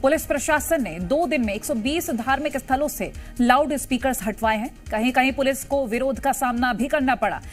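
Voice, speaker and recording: A woman's voice: high voice, normal speed, few pauses, soft background music. A woman with an Indian accent presenting live television news as the anchor.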